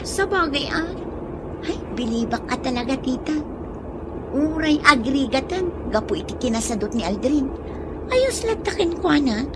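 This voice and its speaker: A woman's voice: high voice, animated, normal speed. A woman playing a chatty young niece in a drama, with an Ilocano Filipino accent. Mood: admiring, chatty. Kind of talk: Ilocano audio drama acting. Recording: clear vehicle noise behind the voice.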